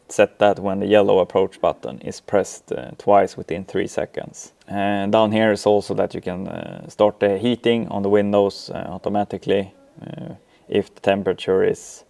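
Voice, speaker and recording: Swedish guy walking thru software settings, mellow n gravelly, lots of ums, kinda halting. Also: on the low side. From Sweden. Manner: hesitant, halting delivery with frequent ums. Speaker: tutorial presenter. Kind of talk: informal software walkthrough.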